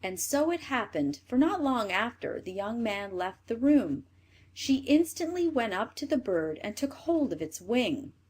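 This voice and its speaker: A woman reading a fairy tale for children as an expressive storyteller. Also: fairly high pitch, a little slow, slightly rough. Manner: expressive read-aloud delivery.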